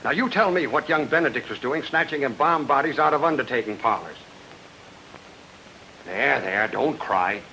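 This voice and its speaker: A man's voice: medium pitch, expressive, moderate pace, pushed and bright, slight background noise, low-quality recording. A man playing a brash, blunt lawman with emphatic delivery in an old-time radio noir. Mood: blunt, needling.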